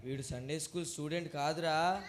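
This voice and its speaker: Church kids show host, man w high voice, calls out questions, loud noisy live recording. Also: steady tone, fairly quick. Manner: exclamatory, calls out questions to the children. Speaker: puppet show host at a church children's program. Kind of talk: lively talk with children and a puppet. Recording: noisy live event with crowd. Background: children's crowd and loud noise.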